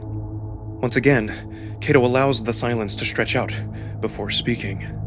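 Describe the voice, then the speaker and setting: An expressive male narrator tells a dramatic first-person story in a mid-pitched voice. He builds suspense through his delivery, with faint music playing underneath. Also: unhurried. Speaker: narrator and voice performer. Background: background music.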